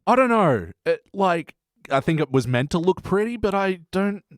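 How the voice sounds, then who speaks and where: A man's voice: high-pitched, very animated, moderate pace, no echo, clean studio sound. A man co-hosting a podcast in blunt, sweary, casual chat about a TV show. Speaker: podcast co-host.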